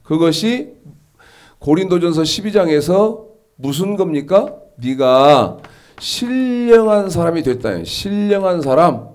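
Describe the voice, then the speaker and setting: A man in his sixties preaching a Sunday sermon, his voice stuffy and nasal from a cold, rising high and emphatic as he drives his points home. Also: lively intonation, slow pace, darkish tone. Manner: rises to an emphatic raised pitch when preaching. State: congested with a cold. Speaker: pastor.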